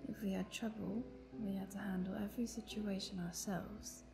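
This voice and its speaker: A woman's voice: medium pitch, steady tone, moderate pace, creaky, over music, lightly acted. A woman playing a chatty, playful vampire prisoner who teases and tells her woes theatrically in a roleplay monologue. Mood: bitter storytelling. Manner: playful, talkative, teasing, theatrical. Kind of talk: dramatic roleplay monologue addressed to the listener.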